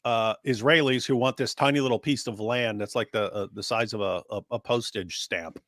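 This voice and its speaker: A man's voice: mid-range voice, steady tone, moderate pace, fairly bright tone, no echo, clean studio sound. A man co-hosting a casual online commentary, speaking emphatically and building his points in long lists. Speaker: co-host.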